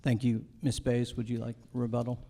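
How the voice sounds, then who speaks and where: A man's voice: medium pitch, completely flat delivery, moderate pace, vocal fry, dark tone. A man moderating a candidate forum, formally putting questions to speakers.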